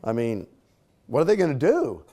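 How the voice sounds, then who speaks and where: Man, slightly scratchy voice, frequent throat clearing, motivational speaker, casual conversational delivery, mid-pitched. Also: huge pitch swings, normal speed, warm tone. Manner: conversational, casual fillers and tag questions. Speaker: speaker at a leadership training. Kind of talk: motivational talk to a live audience.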